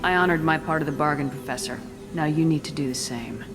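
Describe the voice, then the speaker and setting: An actress playing a tough, self-assured military commander in a video game, with a firm, direct delivery. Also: female voice, low voice, fast talker. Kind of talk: video game character dialogue. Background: game soundtrack music.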